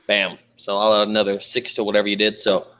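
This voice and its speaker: A man's voice: on the low side, completely flat delivery, quick pace, fairly bright tone, smooth, lo-fi sound. A man playing a tabletop game, chatting casually as one of the players.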